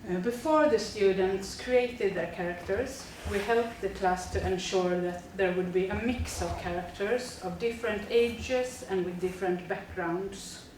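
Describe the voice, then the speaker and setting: A woman's voice: mid-range voice, unhurried, few pauses, a little mellow, heavy reverb, distant mic, slight background noise, low-quality recording. A woman, a teacher reading a prepared presentation steadily with frequent fillers. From Sweden. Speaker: teacher presenting a school project.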